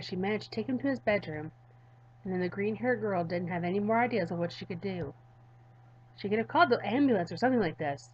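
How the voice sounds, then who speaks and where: A woman's voice: mid-range voice, darkish tone. Woman gaming narrator, slightly lo-fi sound. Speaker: gaming YouTuber. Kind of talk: visual novel read-aloud and casual commentary.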